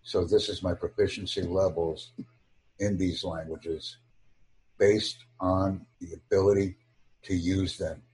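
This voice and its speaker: Man, very deep voice, husky, formal and measured, online English lesson. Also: fairly even intonation, a little mellow, rough recording. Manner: slow, formal, measured delivery in short phrases. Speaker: English tutor.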